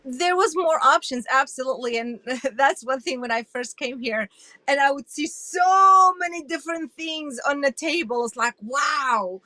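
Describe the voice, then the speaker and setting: A woman in her sixties, originally from Iraq, telling her story as an interview guest in an animated, emphatic way. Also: high-pitched, measured pace, no echo. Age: 60s. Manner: animated and emphatic, with frequent restarts.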